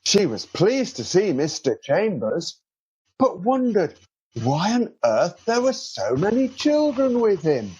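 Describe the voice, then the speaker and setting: A man narrating a children's storybook in an expressive, drawn-out storytelling delivery. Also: high voice, slightly rough, highs cut off. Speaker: author reading a children's story.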